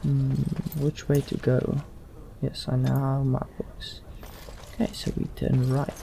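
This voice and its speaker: A man's voice: mid-range voice, monotone, unhurried, gravelly, warm tone, a little hiss or hum. A man hosting a let's play, musing aloud in casual game commentary with some role-play. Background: game music.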